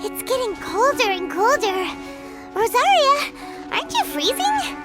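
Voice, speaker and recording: Chirpy childlike anime game sidekick, extremely high, lively pitch, exclamatory delivery. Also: female voice, unhurried, full character voice. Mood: indignant, fretful. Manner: refers to herself in third person, exclamatory. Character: small childlike floating pixie girl companion, chirpy and inquisitive. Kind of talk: anime-style video game voice acting. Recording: game music playing behind the voice.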